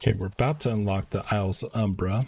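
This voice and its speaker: A man's voice: on the low side, fairly even intonation, fast talker, vocal fry, a little mellow, slight background noise. A man livestreaming a video game, talking over the game as he plays. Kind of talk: reading game dialogue aloud on a stream.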